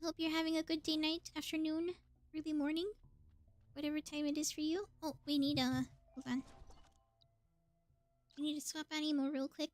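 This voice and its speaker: A woman's voice: sits high, dry recording. A woman doing casual live game commentary as a streamer, chatty and thinking aloud as she plays.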